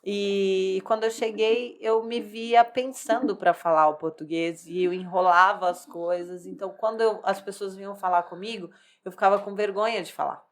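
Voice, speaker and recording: A woman's voice: medium pitch, steady tone, moderate pace, few pauses. A woman in her thirties, a bodybuilding athlete, telling her life story in a candid, rambling way, full of fillers and restarts. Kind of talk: personal life story in casual chat.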